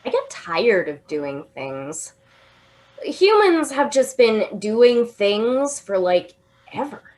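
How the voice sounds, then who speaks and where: A woman's voice: fairly high pitch, very animated, darkish tone. A young actress playing a tipsy, giggly woman waiting for a late-night train in a radio drama. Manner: giggly, drawn-out tipsy delivery.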